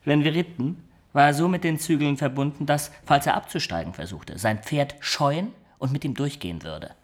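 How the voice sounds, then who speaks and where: An actor playing a wry, rough adventurer who tells his tale with dry teasing in a German radio drama. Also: on the high side, lively intonation, moderate pace. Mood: wry storytelling.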